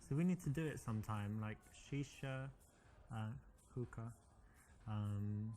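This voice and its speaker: A man's voice: lowish voice, unhurried, lightly acted. Guy playing laid-back english dude on a date, light south london accent, chatty. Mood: flirty.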